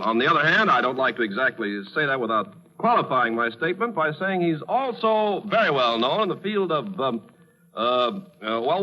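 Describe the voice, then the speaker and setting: A man announcing on a 1940s live radio variety show, introducing guests in a mock-formal, wordy, playfully halting way. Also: a little high, lo-fi sound. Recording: noisy old radio recording with clicks.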